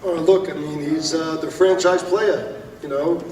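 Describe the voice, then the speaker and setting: A man's voice: a little high, steady tone, unhurried, a little mellow, slight background noise. A man from the Bronx, a boxing promoter speaking upbeat with lots of fillers. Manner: upbeat, fillers like you know. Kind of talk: press conference remarks. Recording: echoey hall, far from mic.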